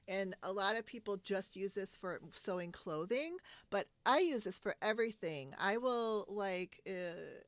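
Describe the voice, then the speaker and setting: A woman's voice: medium pitch, dry recording. A woman chatting casually in a bubbly, giggly way, recorded at home on a video call. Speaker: podcast host. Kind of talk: casual chat about sewing favorites.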